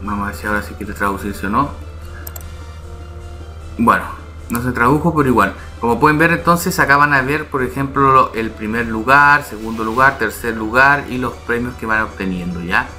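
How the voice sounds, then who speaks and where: A man's voice: medium pitch, normal speed, sharp tone, soft background music. A man hosting his channel, casually explaining a website step by step and checking in with his viewers as he goes. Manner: explains step by step, often asking "¿ya?" and "¿vale?". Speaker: YouTube channel host. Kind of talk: casual screen-recorded tutorial.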